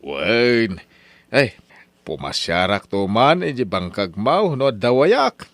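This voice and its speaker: A man's voice: mid-range voice, sing-song, takes it slowly, a bit bright, no echo, heavily acted. A man playing a chatty village uncle. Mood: cheerful. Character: friendly older village uncle, chatty and advising. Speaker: uncle figure. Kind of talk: radio drama acting.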